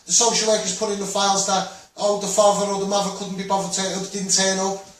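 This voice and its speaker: Scouse man, high-pitched voice, blunt rambling campaigner monologue, heavy echo, repetitive phrasing. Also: flat delivery, brisk, a little hiss or hum. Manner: blunt, rambling, repeating himself. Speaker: children's rights campaigner. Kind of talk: impassioned live monologue to online viewers. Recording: very echoey room, far from mic.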